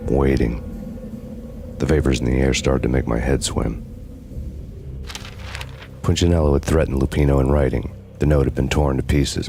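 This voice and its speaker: Very deep deadpan noir avenger. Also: male voice, background noise. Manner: deadpan, world-weary, sardonic. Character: brooding, cynical hard-boiled male avenger. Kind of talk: noir scripted narration in a video game. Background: music.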